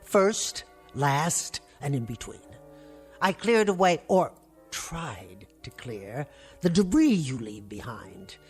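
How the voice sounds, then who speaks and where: A woman's voice: sits low, sing-song, takes it slowly, breathy edge, faint music behind, heavily acted. An actress playing a weary, resentful middle-aged niece who answers her uncle with clipped, sarcastic retorts. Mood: tart, weary. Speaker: put-upon niece and housekeeper. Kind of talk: 1960s TV drama acting.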